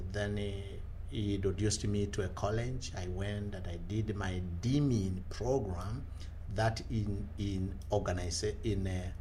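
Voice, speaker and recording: Kenyan man, low voice, hesitant storytelling. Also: a little mellow, slight background noise. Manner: earnest storytelling with frequent "uh" hesitations and restarts. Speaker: guest, leadership trainer. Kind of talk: conversational interview.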